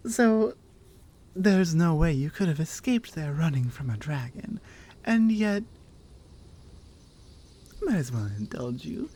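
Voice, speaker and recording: Actress as teasing Nordic warrior woman, ASMR audio drama. Nordic accent, mellow and slow, playfully dry and doubting. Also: low-pitched, animated. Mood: amused, skeptical. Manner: playful, dry, conversational with pauses. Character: strong, teasing, skeptical female Nordic warrior.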